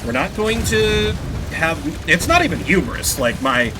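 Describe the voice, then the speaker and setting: A man's voice: sits high, sing-song, normal speed, background noise. A young adult man streaming a game blind, rambling with swears and self-deprecating jokes. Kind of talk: blind let's-play commentary. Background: game music.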